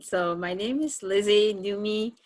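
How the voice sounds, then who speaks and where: A woman's voice: medium pitch, measured pace, no echo, clean studio sound. A woman from Cameroon with a Cameroonian accent, telling her own story conversationally as an interview guest.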